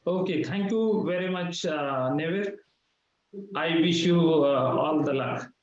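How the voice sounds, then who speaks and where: Man, high voice, slow deliberate questioner, roomy lo-fi sound. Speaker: hackathon judge. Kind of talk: asking questions on a video call. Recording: echoey lo-fi call audio.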